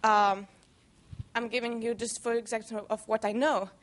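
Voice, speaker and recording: A woman with a Belgian accent puts a question to the panel from the audience. Her voice is somewhat high, and she speaks carefully, at times reaching for the right word. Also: flat delivery, moderate pace. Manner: careful, searching for words. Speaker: audience member asking a question.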